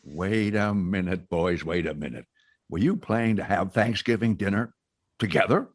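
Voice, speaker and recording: An actor playing a genial, oblivious factory boss in old-time radio sitcom style. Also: male voice, deep voice, lively intonation, moderate pace, breathy edge, dry recording, studio quality.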